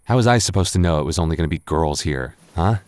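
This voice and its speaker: A man's voice: very deep voice, animated, quick pace, a little mellow, clear, resonant tone, dry recording, studio quality. A young man acting a tipsy, flustered roommate hiding a crush in an intimate boyfriend roleplay, stammering and defensive in a conversational way.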